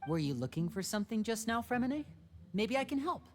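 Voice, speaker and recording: A woman voicing a shrewd, protective teenage elder brother in a video game, smooth and probing in manner. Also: on the high side, very animated, brisk. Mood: helpful, curious. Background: faint game music.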